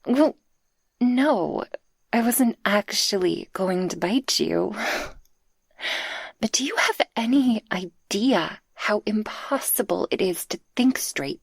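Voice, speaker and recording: Breathy, husky succubus played by a woman. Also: mid-range voice, animated, no room sound, exaggerated voice. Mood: playful, mock indignant. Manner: slow, soft, affectionate, intimate. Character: tender, possessive female succubus guardian, playful and shy in love. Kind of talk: intimate ASMR roleplay, much of it whispered.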